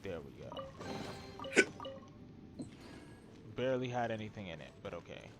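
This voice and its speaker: A man's voice: on the low side, big pitch swings, measured pace, loud music behind the voice. A man casually commenting as he streams a video game, with wry, laid-back asides. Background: video game audio.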